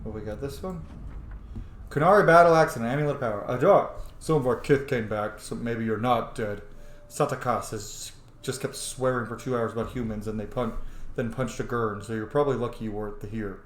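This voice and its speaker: A man's voice: medium pitch, fairly quick. A man commenting casually on a roleplaying game, chatty and opinionated, reading text aloud and reacting to it. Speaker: video game streamer and roleplayer. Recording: roomy sound with faint background noise.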